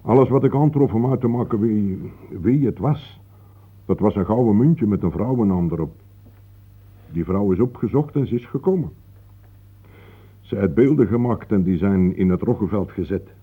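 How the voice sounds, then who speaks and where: An actor playing an older, guarded, matter-of-fact local man with a husky voice, formally polite, in a Dutch radio play. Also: fairly low pitch, expressive, normal speed, phone-quality sound. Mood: solemn.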